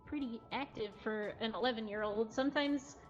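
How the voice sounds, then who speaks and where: A woman's voice: a little high, normal speed. A giggly woman presenting casually as a livestream host at an indoor cat cafe. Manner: giggly, often chuckling. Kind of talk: casual live presentation and chat. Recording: slightly dull livestream audio, faint music. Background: faint music.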